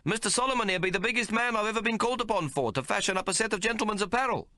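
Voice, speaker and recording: A man's voice: very fast, pushed and bright, no room sound. A man voicing a sly, haggling little male tailor with a whiny, pleading manner in an audiobook. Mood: wheedling. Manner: whiny, pleading, high-pitched. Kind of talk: audiobook character voice.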